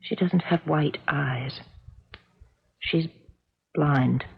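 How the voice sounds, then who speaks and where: An actress playing an earnest, dreamy middle-aged American housewife in a 1970s radio drama, speaking hesitantly in hushed phrases with pauses. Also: female voice, low-pitched, gravelly, slightly rough, heavily acted. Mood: tender wonder. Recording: muffled old 1970s radio recording.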